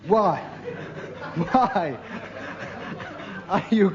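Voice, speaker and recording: Very high-pitched, animated man. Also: drawn-out words. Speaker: comedy panellist. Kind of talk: improvised comic banter. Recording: dull lo-fi radio recording, faint noise.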